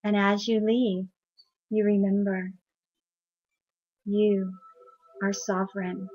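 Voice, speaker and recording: A woman leading a guided meditation in a soft, soothing, unhurried voice. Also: medium pitch, flat delivery. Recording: dull lo-fi recording.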